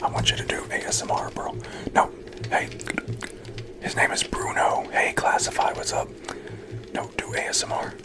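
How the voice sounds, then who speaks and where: A man's voice: normal speed, pauses often, loud music behind the voice. A young man hosting a casual livestream, greeting viewers and playfully scolding his dog in a whispery voice. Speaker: livestream host.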